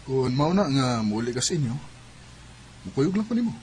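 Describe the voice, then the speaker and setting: An actor playing an earnest grown suitor in a radio drama, with a Cebuano Filipino accent. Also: male voice, mid-range voice, big pitch swings, fairly quick, a little mellow, lightly acted. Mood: determined. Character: earnest male suitor, older than his sweetheart.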